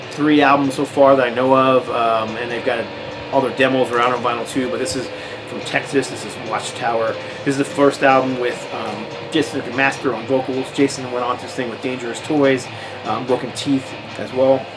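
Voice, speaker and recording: A man's voice: mid-range voice, rough recording. Rambling American man over thrash music. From United States. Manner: rambling, relaxed, many fillers and restarts. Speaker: metal record collector vlogger. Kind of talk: casual record show-and-tell.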